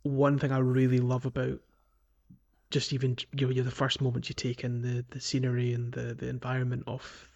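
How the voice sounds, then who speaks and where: A man co-hosting a podcast in casual conversation about video games, halting as he thinks aloud. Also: mid-range voice, completely flat delivery, gravelly, darkish tone, highs cut off. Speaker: podcast host. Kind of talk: casual video game discussion.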